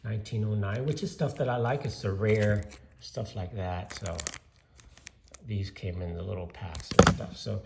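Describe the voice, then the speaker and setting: A man's voice: fairly low pitch, fairly even intonation, normal speed, gravelly, noisy background. Older man from Cuba, echoey room. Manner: rambling, with many fillers and restarts. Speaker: card collector showing his collection. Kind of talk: casual show-and-tell vlog.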